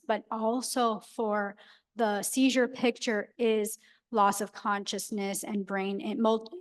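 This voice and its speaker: A woman presenting clinical work, answering a colleague's question in a measured way with frequent fillers. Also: medium pitch. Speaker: presenting physician. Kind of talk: academic case discussion.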